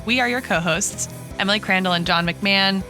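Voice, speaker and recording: A woman's voice: mid-range voice, brisk, over music. A woman co-hosting a chatty podcast, asking questions in an articulate, playfully formal way. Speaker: podcast co-host interviewing her co-host. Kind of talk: chatty podcast discussion, mock-formal interview.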